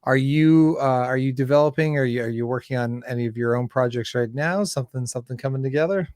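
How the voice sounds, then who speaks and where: A Canadian man chatting casually as an interviewer, wordy and halting, often breaking off and restarting his sentences. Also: mid-range voice, darkish tone, no room sound, clean studio sound. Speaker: interviewer and filmmaker. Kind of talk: casual podcast interview chat.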